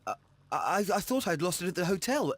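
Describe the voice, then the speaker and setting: A man playing a frightened young murder suspect, stammering and pleading in a dramatic video game style, with a husky, strained voice. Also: high-pitched, sing-song, fairly quick, dry recording. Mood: startled, nervous.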